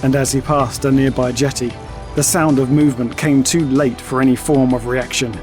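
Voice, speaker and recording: A man's voice: medium pitch, fairly even intonation, moderate pace, darkish tone, faint music behind. A man delivering scripted, dramatic narration for an audio story.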